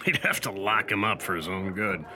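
A male actor playing a skeptical, gruffly conversational police sergeant in an old-style radio drama. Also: low voice, moderate pace, a crowd in the background, close to an everyday voice. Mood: wry. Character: brisk, skeptical police sergeant questioning his men.

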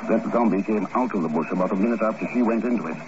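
An actor playing a cool-headed, authoritative secret agent in a 1950s radio drama, calm, dry and clipped. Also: male voice, lowish voice, rapid-fire, close to an everyday voice. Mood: cool, composed. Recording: muffled, noisy old 1950s radio recording.

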